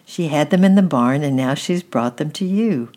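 A woman's voice: low-pitched, lively intonation, normal speed, darkish tone. A woman reading aloud, voicing an eager young girl in a children's storybook with a raised, urgent delivery. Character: excited young girl comforting her brother.

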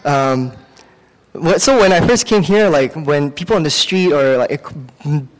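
Higher-voiced Singaporean man preaching in reverberant hall. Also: lively intonation. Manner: conversational, halting, with self-corrections. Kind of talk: sermon with personal anecdotes.

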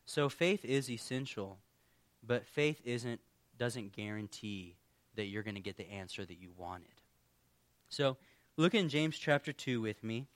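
A man preaching a conversational sermon as pastor, his voice a little worn from allergies, speaking earnestly with pauses and restarts. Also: medium pitch, expressive, no echo.

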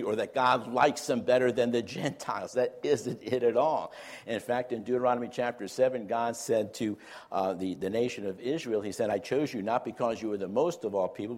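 A male preacher delivering a sermon in an earnest, conversational way, sprinkling in small jokes. His voice sits in an ordinary middle pitch, and he often pauses and restarts his phrases as he builds his points. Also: darkish tone. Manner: earnest, with light humor and frequent fillers and restarts.

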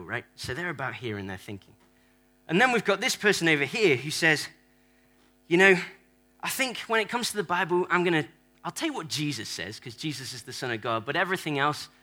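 A man's voice: medium pitch, sharp tone. A man preaching a playful sermon in a church, acting out different church members and joking with the congregation. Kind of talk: sermon with audience props. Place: church hall.

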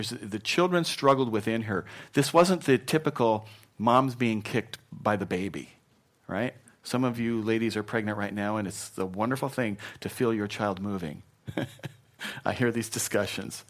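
A middle-aged man preaching a sermon in a conversational, animated way, joking and acting out quotes, then softening to an earnest tone. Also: lowish voice. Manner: softens to a gentle, earnest tone when encouraging. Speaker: pastor. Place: church.

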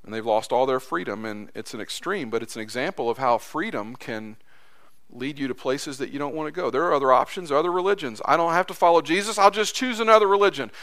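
A man preaching a sermon in a church, impassioned, his voice climbing high as he acts out quotes, then dropping low and soft. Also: lively intonation, fast. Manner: impassioned, voice rising high when acting out quotes. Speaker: pastor.

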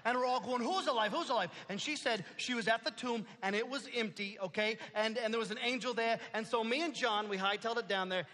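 An excitable, rambling apostle-fisherman who thinks out loud and bounces from thought to thought, as a man plays him in a comic stage skit. His voice sits high and bright and swings widely in pitch. It rings through a reverberant hall over faint background noise. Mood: chatty. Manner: rambling, talks to himself out loud, playful.

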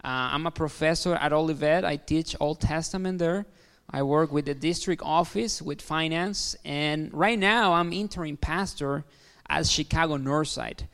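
A man's voice: on the high side, normal speed, gravelly, a bit bright, clean tone. Mexican-accented man preaching in a reverberant hall. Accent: Mexican Spanish. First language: Spanish. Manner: friendly, many fillers and restarts. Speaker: guest pastor. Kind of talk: sermon. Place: large hall.

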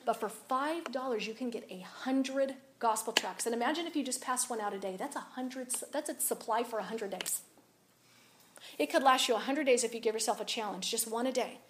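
A woman with a raspy voice giving an animated, confiding storytelling talk to a women's audience. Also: on the high side, brisk, noisy background. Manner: animated, confiding, rises and drops a lot. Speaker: speaker at a women's event.

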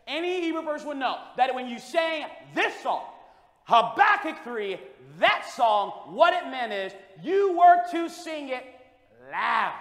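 A man preaching a sermon with a loud voice, moving between quiet storytelling and shouted preaching. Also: very high-pitched. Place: church hall. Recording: echoey hall with long reverb.

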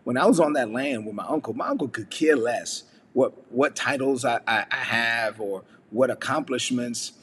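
A man's voice: mid-range voice, moderate pace, few pauses, fairly bright tone, big-room sound, slight background noise. A man from the United States giving a casual devotional message, talking conversationally with frequent fillers and rhetorical questions. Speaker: preacher.

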